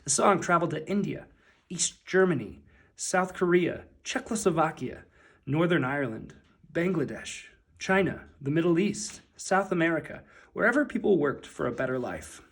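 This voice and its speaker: A man reading a children's picture book aloud as narrator, with deliberate, emphatic phrasing. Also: a little high, animated, creaky, fairly bright tone, husky.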